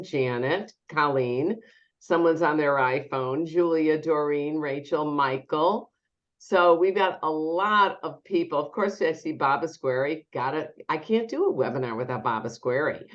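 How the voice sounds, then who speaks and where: A low-pitched woman with a nasal voice, still getting over an illness, introduces a webinar in a chatty manner full of ums. The sound is a little dull and lo-fi, as on a video call. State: recovering from illness. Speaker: webinar host and company CEO.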